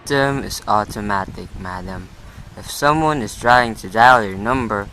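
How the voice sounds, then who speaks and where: Faint background noise, man acting telephone operator, polite, formal, measured, procedural, radio drama. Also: lowish voice, pushed and bright, rough recording. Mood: polite, detached. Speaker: student actor in a class radio drama.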